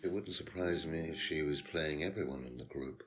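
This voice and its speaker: An actor playing a smug, evasive course leader in a mystery game, dismissive and condescending. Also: male voice, extremely low pitch, moderate pace, music in the background. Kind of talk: acted dialogue in a mystery video game.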